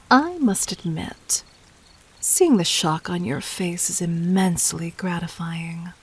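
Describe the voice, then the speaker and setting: A woman playing a regal, cunning, gently dominant demon queen in a roleplay audio drama, speaking formally and intimately with measured, breathy poise. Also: on the low side, exaggerated voice. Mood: gloating, amused. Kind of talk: scripted roleplay audio drama addressed to the listener.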